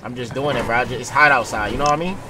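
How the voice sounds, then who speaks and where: A man's voice: medium pitch, rapid-fire. A man playing online gangster roleplay, pushy and profane, repeating his demands in street slang. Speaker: roleplay game player. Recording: noisy game voice chat.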